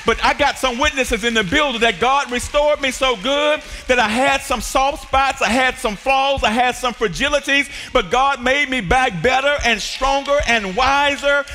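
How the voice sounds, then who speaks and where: A high-pitched, bright-toned man preaches from a church stage, swinging from quiet stretches to shouted peaks and calls for the congregation to answer. His voice rings through a large hall with a long reverb tail. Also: moderate pace, hardly any pauses, soft background music. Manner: preaching with rising shouts and call-and-response. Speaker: pastor. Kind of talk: sermon.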